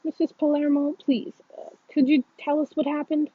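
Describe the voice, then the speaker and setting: A woman's voice: high voice, level tone, normal speed, no echo, phone-quality sound, voice pushed up, close to an everyday voice. A woman voicing a concerned father who questions and warns earnestly in a story reading. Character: concerned, admonishing father of a schoolboy.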